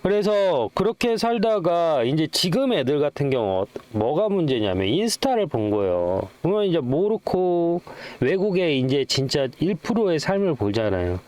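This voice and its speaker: A man's voice: a little high, big pitch swings, moderate pace, hardly any pauses, darkish tone. A middle-aged man giving casual, off-the-cuff stock commentary in a chatty, rambling way, often posing questions and answering them himself. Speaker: stock channel host.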